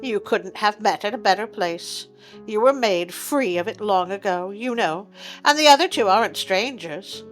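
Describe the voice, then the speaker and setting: A woman's voice: medium pitch, animated, moderate pace, soft background music, natural voice, poor audio. A woman voicing a grey-haired old rascal of a junk dealer in a storybook reading, sly and wheedling. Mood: sly, eager.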